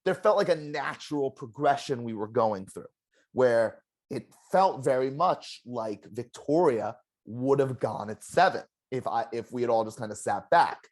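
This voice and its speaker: A former game contestant in a casual exit interview, telling stories in an animated, self-deprecating way with a loud voice. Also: mid-range voice, moderate pace. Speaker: eliminated contestant, juror.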